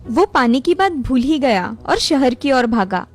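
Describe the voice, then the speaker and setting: A woman's voice: high voice, expressive, a little slow, faint music behind. A woman narrator reading a scripted moral story for a cartoon.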